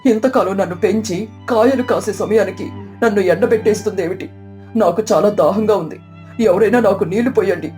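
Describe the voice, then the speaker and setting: A woman's voice: medium pitch, brisk, dark tone, faint music behind, close to an everyday voice. A woman voicing a sorrowful, neglected mango tree that laments in a children's cartoon. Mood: suffering, pleading. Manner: plaintive, lamenting.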